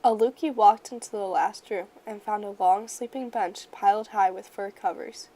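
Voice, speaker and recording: A woman reading a children's story aloud in an expressive, measured storyteller's manner. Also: mid-range voice, low-quality recording. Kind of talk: children's picture book read-aloud.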